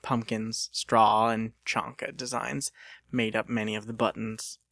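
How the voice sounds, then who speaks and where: A man narrating an audiobook as a dramatic reading, with theatrical, expressive storytelling. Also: fairly low pitch, unhurried, gravelly, no echo, clean studio sound.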